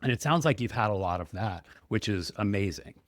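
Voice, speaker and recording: A man's voice: lowish voice, animated, fairly quick, dry recording, clean studio sound. A man co-hosting a podcast, enthusiastic and rambling, with many likes and ums. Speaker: podcast co-host. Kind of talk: casual podcast chat.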